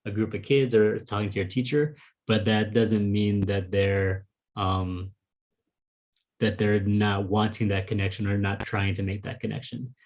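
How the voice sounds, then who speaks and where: A man's voice: fairly low pitch, little pitch movement, unhurried, darkish tone. A man whose first language is Vietnamese, talking thoughtfully as an interviewer over a video call, with lots of "like" and "um". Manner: thoughtful, with frequent "like" and "um" fillers. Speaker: interviewer, fellow author. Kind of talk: casual conversation.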